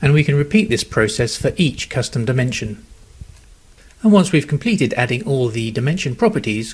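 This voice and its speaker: A man narrating a scripted software tutorial in a measured, step-by-step instructional manner. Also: medium pitch, slightly rough.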